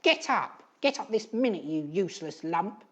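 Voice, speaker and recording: A reader voicing a bad-tempered, sneering scraggy old woman who shouts in a shrill, screeching voice. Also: big pitch swings, unhurried. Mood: furious shouting.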